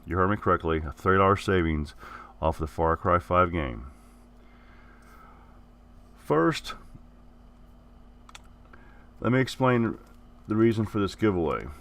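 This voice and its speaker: Gaming host, low male voice, a bit rough from the flu, rambles and restates himself. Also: animated, unhurried, pauses often, fairly bright tone. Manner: rambling, repeats and corrects himself. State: hoarse, getting over the flu. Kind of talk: casual giveaway announcement.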